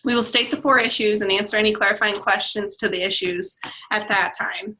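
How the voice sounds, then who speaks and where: A young woman from Nebraska with a bright, tense voice explains what will happen at a convention. She speaks as a state student officer, reassuring and friendly, with frequent "um"s. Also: medium pitch, steady tone, fairly quick, rough recording. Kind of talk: explaining procedures on a conference call.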